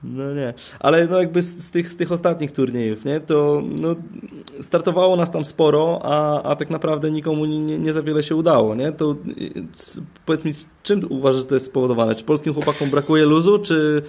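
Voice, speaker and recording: A man hosting a casual podcast interview, asking relaxed, prompting questions. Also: a little high, brisk. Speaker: podcast host.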